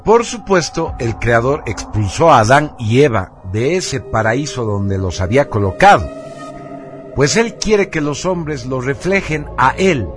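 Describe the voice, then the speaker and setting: A man reading a scripted radio narration in a solemn, measured and emphatic manner. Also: mid-range voice. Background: music.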